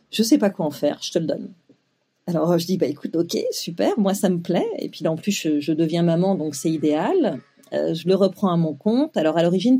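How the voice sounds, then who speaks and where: Hesitant woman in her forties, mellow voice. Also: lowish voice, breathy edge. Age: 40s. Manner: hesitant, many fillers and restarts. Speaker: guest, web publisher. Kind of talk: casual interview storytelling.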